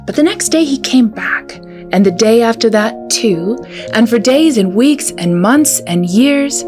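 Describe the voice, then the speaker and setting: A woman's voice: a little high, lively intonation, measured pace, music in the background. A woman hosting a children's storytime, reading a picture book aloud in a storytelling style.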